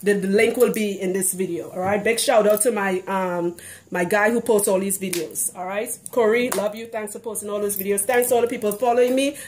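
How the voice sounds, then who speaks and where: A woman's voice: medium pitch, steady tone, fairly quick, darkish tone, roomy sound, slight background noise. A woman from Trinidad with a Trinidadian accent, talking casually and outspokenly to her viewers as a hair care consultant, laughing often. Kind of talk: casual vlog talk to camera.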